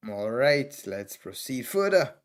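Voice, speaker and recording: A man's voice: medium pitch, big pitch swings, a little slow. A man from Trinidad and Tobago with a Trinidadian accent, streaming live and thinking aloud as he reviews chess games move by move. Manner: thinking aloud, calling out moves in short bursts.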